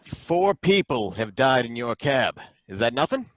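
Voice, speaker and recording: A gruff, doubting inspector. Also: male voice, medium pitch, big pitch swings, a little slow, dry recording, clean studio sound. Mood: skeptical. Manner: blunt, doubting. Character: skeptical, gruff male license inspector. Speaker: license inspector. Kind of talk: old-time radio drama.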